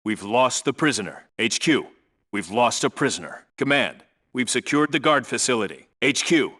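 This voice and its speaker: An actor voicing a no-nonsense Navy SEAL team leader in a military video game, giving clipped, businesslike, authoritative reports and squad orders. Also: male voice, medium pitch, lively intonation, normal speed, bright, forceful tone. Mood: focused, businesslike.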